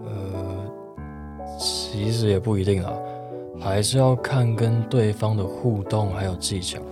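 A reserved, hesitant man in his forties played by a young male actor speaks low in a roomy, echoing space, with guitar music behind him. He is a wary, secret romantic from a radio drama. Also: steady tone, a little slow, lightly acted. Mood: flustered, annoyed. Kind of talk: radio drama acting with inner monologue.

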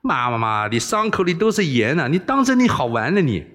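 A man's voice: a little high, very animated, moderate pace, a bit bright. A man voicing a cheeky, foul-mouthed young rogue posing as a palace eunuch, brash and exclamatory, in audiobook storytelling. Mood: exasperated.

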